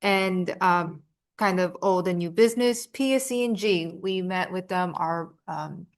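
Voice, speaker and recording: A woman with a Spanish accent and lowish pitch reporting local updates at a council meeting. She talks in a relaxed way with light humor. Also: unhurried. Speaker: council member giving updates.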